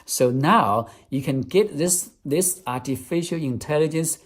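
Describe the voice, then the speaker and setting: A man's voice: a little high, unhurried. A man with a Chinese accent, a native Chinese speaker, teaching English step by step in an app demonstration. He talks earnestly and repeats key phrases.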